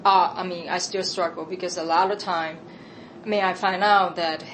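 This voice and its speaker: A woman with a Taiwanese accent speaks in a somewhat low voice, answering questions in an earnest, reflective and halting way. English is not her first language. A steady noise sits clearly behind her voice. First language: Chinese. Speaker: interviewee. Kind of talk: candid conversational answers. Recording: noisy background.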